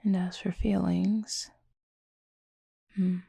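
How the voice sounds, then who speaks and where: Woman acting as gentle cupid roommate, low female voice, very gravelly creak, thoughtful, measured, intimate ASMR roleplay. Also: flat delivery, no echo, exaggerated voice. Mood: thoughtful, tender. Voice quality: soft, breathy, husky with creak. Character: soft-spoken, reflective cupid roommate, gentle and guarded.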